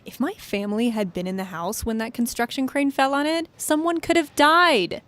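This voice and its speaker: A woman's voice: fairly high pitch, steady tone, normal speed, a bit bright, natural voice. A woman playing a distressed, baffled legal client with a plaintive, exasperated manner in an audio comedy sketch.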